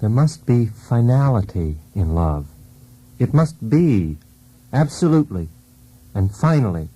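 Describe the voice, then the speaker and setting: An actor playing a wounded, brooding husband in a poetic radio drama, speaking in a deliberate, intense, theatrical way. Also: male voice, fairly low pitch, big pitch swings, dark tone, rough recording. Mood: solemn, insistent. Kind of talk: poetic monologue in a radio play. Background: music.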